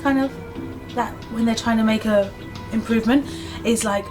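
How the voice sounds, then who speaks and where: A woman's voice: fairly high pitch, moderate pace, over music. A young British woman, chatting in an interview about teaching and running a business, acting out voices as she talks. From Britain. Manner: chatty, animated, acts out quoted voices. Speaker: business owner and English teacher. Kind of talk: casual sit-down interview.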